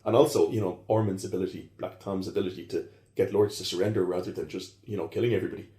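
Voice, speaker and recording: A man talks fast, circling back on himself with plenty of fillers. His voice is lowish, dark and mellow, and it carries in an echoey room. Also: steady tone. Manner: fast, rambling with many fillers and restarts. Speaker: historian guest. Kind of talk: casual podcast conversation.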